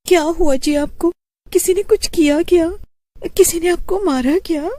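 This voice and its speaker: Lady doing a anxious caring wife in a story, higher lighter pitch, polite and gentle. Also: a little slow. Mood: alarmed. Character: anxious, caring young wife, soft and apologetic.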